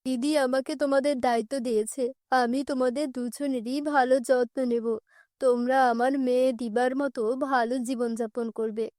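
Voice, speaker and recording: Woman acting a lower-pitched, protective uncle. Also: steady tone, normal speed, a little mellow, no room sound, clean studio sound, lightly acted. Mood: sorrowful, caring. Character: protective, kindly uncle, firm grown man.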